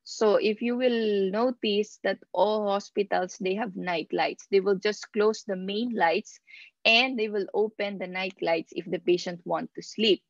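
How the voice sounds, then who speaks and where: A woman instructor giving a slide lecture over a video call, reading out points and explaining them haltingly, pausing mid-phrase. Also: mid-range voice, steady tone, vocal fry, no room sound, dull audio. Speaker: instructor of nursing assistant course.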